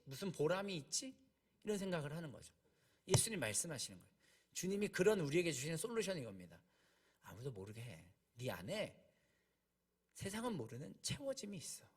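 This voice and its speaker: High-pitched, husky-voiced male preacher. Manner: emphatic, slows for key phrases, asks rhetorical questions. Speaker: preacher, junior pastor. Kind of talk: sermon.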